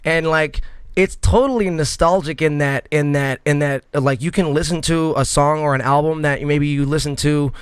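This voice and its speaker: A man's voice: a little high, steady tone, moderate pace, clear, resonant tone, no echo, studio quality. A man in his thirties hosting a podcast interview, talking casually and rambling with many fillers and restarts. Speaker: podcast host.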